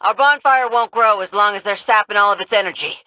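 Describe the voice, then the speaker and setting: A woman's voice: mid-range voice, a little fast, pushed and bright, a little hiss or hum, lightly acted, low-quality recording. An actress playing a folksy, upbeat woman who guides fighters in a video game. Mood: urgent, cocky. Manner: folksy, informal drawl. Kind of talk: video game mission voice lines.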